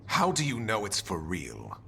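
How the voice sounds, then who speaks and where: An actor playing a haughty, officious city official in a fantasy video game, pompous and disapproving. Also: male voice, lowish voice, huge pitch swings, unhurried, gravelly, sharp tone, a little hiss or hum, heavily acted. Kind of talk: video game dialogue acting. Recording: game music underneath.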